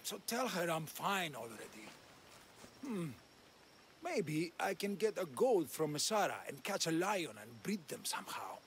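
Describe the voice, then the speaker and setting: An actor playing a grumpy, ungrateful eccentric farmer in a video game, gruff and dismissive in his manner. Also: a little high, very animated, a little slow, fairly bright tone, exaggerated voice. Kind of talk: video game cutscene acting. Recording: clear ambient noise behind.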